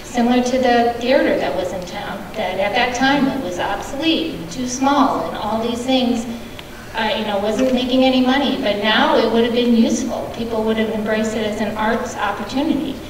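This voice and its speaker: A woman's voice: on the high side, normal speed. A woman resident making an earnest, persistent public comment at a community meeting. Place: large meeting hall. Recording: echoey hall, distant mic, background noise.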